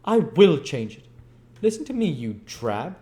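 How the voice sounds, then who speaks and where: A man voicing a scheming old male gang master, oily and coaxing but quick to fury. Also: a little high, lively intonation, darkish tone, voice pushed up. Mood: furious.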